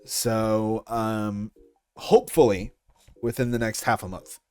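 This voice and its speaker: Low-voiced young man, casual interview. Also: steady tone, slow pace, over music. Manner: informal, chatty. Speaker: series creator being interviewed.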